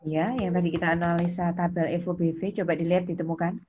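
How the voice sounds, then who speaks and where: Low-voiced Indonesian woman teaching, muffled audio. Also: little pitch movement, quick pace, crowd noise. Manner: repeats key points, prompts and praises students. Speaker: accounting teacher. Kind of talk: interactive online lesson, questioning students by name. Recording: muffled lo-fi audio with background noise.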